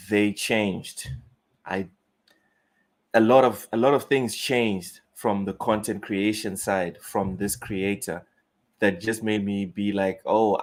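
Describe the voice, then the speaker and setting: Young man, southern african accent, lowish voice, casual live-stream chat, reads chat, blunt and rambling. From Mozambique. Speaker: gaming streamer.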